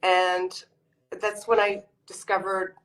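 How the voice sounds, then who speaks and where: A woman's voice: medium pitch, little pitch movement, slow pace, a bit bright, low-quality recording. A woman in her forties from Canada, talking in a casual interview over a video call, with lots of ums and restarts. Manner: chatty, many ums and restarts. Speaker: author guest.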